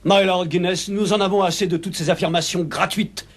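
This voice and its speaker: A man's voice: sits high, steady tone, brisk. A man voicing a pompous, hot-tempered English banker in a dubbed cartoon, blustering and emphatic. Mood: irritated, challenging.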